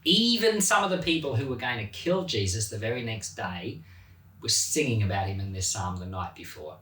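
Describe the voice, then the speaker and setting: Animated pastor in an echoey room. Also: male voice, fairly low pitch, normal speed. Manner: animated, chatty, laughs at his own points. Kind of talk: Bible reading and informal teaching. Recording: roomy echo, mic at a distance.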